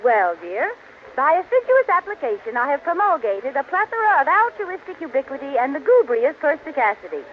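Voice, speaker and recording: High, swooping, scatterbrained radio housewife. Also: female voice, big pitch swings, fairly quick. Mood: showy, bubbly. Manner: theatrical, pretentiously using misused big words. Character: scatterbrained, eager young housewife showing off big words. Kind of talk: 1940s radio sitcom acting. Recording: muffled, hissy old radio broadcast.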